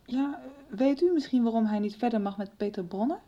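A woman's voice: fairly high pitch, fairly quick, warm tone, natural voice. A woman acting a worried, inquisitive young woman in a Dutch radio soap. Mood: tentative. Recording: dull radio recording with music behind.

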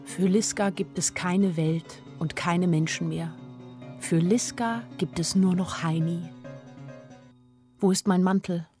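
A woman's voice: fairly low pitch, steady tone, slow pace, a little mellow. An actress playing a weary, lovesick young woman who narrates a radio play in an introspective, lyrical way. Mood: anxious, weary. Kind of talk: literary first-person radio play narration. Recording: studio radio play with faint music.

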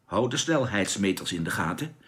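An actor playing an anxious but commanding spaceship captain in a science fiction radio drama, giving urgent, clipped orders. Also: male voice, lowish voice, big pitch swings, moderate pace, fairly bright tone. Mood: tense, commanding.

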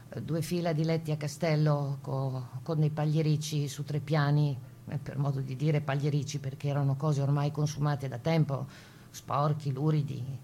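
A woman playing a camp survivor who gives her grave testimony in a radio play, plainspoken and halting, in a conversational way. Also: low-pitched, warm tone, a little hiss or hum. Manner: halting, conversational, with small fillers and tag questions. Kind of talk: dramatized first-person testimony in a radio play.

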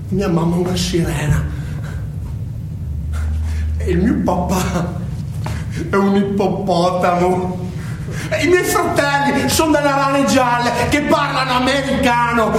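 An actor playing an unhinged, foul-mouthed young delinquent in a stage monologue, halting and repetitive, swinging between muttering and shouting. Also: male voice, extremely high pitch. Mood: brooding. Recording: echoey stage, distant mic, loud music.

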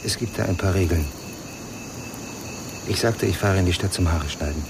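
Gravelly, guarded man in radio drama. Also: low-pitched, moderate pace, background noise. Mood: weary, bitter. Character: Mark, guarded, bitter married lover, coolly accusing. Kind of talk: German radio crime drama acting, 1989.

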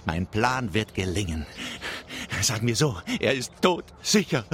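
Pompous, vengeful cuckolded husband, wildly animated. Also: male voice, fairly low pitch, measured pace. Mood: bitter, plotting. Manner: theatrical, self-important, gloating. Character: vengeful, pompous betrayed husband, a boastful brewery owner. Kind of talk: theatrical radio drama monologue.

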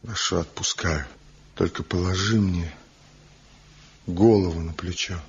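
An actor playing Zhivko, a passionate rejected lover and master carver, in slow, brooding, impassioned declamation in an old radio drama. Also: male voice, sits low, fairly even intonation, breathy edge, full character voice. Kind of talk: 1970s radio drama acting. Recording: dull lo-fi old radio recording with music.

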